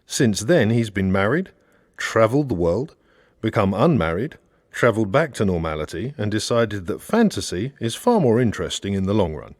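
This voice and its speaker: A man with a fairly low voice narrates a satirical audiobook. His intonation moves widely, with measured storytelling and expressive emphasis. The recording is close and dry, with hardly any room sound. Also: dry recording. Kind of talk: scripted narration of a satirical novel.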